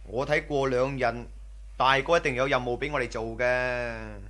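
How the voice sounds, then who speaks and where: An actor playing a cautious, superstitious bandit in a radio drama, earnest and nagging. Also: male voice, mid-range voice, fairly even intonation, moderate pace, a bit bright, lightly acted. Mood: wary, reluctant. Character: cautious, superstitious male bandit, loyal underling. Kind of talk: Cantonese radio drama acting.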